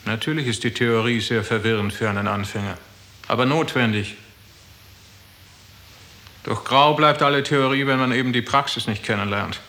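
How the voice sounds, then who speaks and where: An actor playing an overbearing, patronising official who coaxes and threatens in a 1960s German radio drama. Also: male voice, mid-range voice, normal speed, long pauses, sharp tone. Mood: smug, coaxing. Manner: patronising, speaks in proverbs and slogans. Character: overbearing male bureaucrat, smugly coaxing and menacing.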